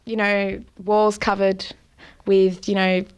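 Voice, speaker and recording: Chatty young Australian woman, smooth voice. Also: medium pitch, little pitch movement, slow. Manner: chatty, laughs often, many fillers. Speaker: guest. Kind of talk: casual interview.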